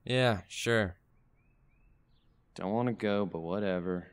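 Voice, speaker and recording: A man's voice: fairly low pitch, slow pace. An actor playing a moody, apologetic young man in an audio drama, subdued in manner. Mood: reluctant. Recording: long reverb, faint noise.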